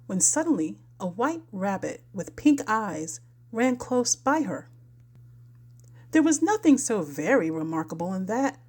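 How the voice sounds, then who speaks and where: A woman reads a children's storybook aloud as its narrator. Her voice is soft-edged and mellow, and her intonation is animated, moving freely up and down as she tells the tale. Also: fairly high pitch.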